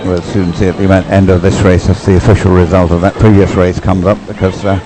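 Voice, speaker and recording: Deep-voiced older man commentating on races. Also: little pitch movement, fast, music in the background, rough recording. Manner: rambling, explanatory asides. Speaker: sports commentator and race umpire. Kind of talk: live race commentary, conversational.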